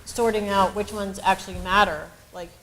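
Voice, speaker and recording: A woman's voice: medium pitch, steady tone, moderate pace, fairly bright tone, slight background noise, poor audio. A woman on a university panel, explaining ideas in a searching, thoughtful way with frequent ums. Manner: explanatory, many fillers and restarts. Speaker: panelist and researcher. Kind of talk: academic panel discussion.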